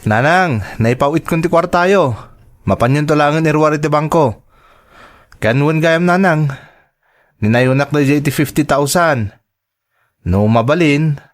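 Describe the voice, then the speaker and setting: A man's voice: on the high side, normal speed, pauses often, close to an everyday voice. An actor playing a generous, devoted young man in an Ilocano audio drama. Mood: eager, respectful. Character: generous, devoted young man sending money to his girlfriend. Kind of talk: radio drama acting in Ilocano.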